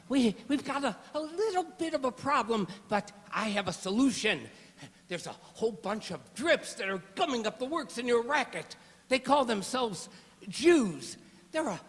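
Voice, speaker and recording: A man's voice: extremely high pitch, very animated, a little slow, fairly bright tone, breathy edge, exaggerated voice. A man playing a scheming, hot-tempered gangster henchman in a comic radio play, stammering and slangy. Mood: wheedling, scheming. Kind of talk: old-time gangster radio play acting. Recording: very echoey hall recording.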